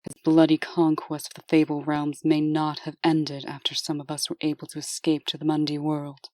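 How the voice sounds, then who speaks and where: A cool, businesslike deputy played by a woman with a low voice, recorded dry and close. She is terse and skeptical, with an exasperated edge. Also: monotone, moderate pace, a little mellow, dry recording, lightly acted. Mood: measured, dutiful. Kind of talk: comic dub character acting.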